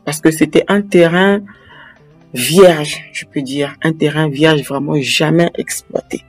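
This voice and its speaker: A woman's voice: low voice, takes it slowly, breathy edge, faint music behind. A middle-aged woman from Congo giving a casual farming lesson on camera, asking the viewer direct questions. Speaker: life coach teaching farming. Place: large indoor office room. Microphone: webcam at desk.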